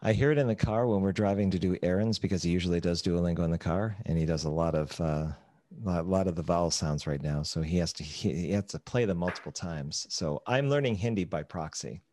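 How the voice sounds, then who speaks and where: A middle-aged man co-hosting a podcast, talking in casual chat with measured, thoughtful explanations. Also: deep voice, mellow, slight background noise.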